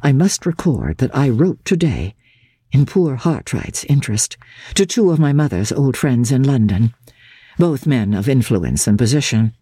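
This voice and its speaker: A woman giving a formal, expressive and measured dramatic narration of a diary from a classic novel. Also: a bass voice, slightly rough, no room sound, studio quality. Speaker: audiobook narrator.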